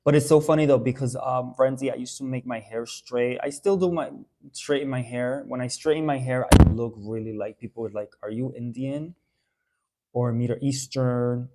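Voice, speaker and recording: A man's voice: medium pitch, normal speed, warm tone. A young adult man from the Dominican Republic, chatting casually on a live stream and answering viewer questions with lots of fillers and restarts. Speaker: haircare brand owner answering live viewers.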